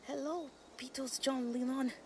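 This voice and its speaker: A woman's voice: sits high, fairly even intonation, a little slow, a little hiss or hum. A woman voicing an eager young helper child in a motion comic, speaking short, stilted broken English. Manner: short, simple, stilted phrases. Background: faint music.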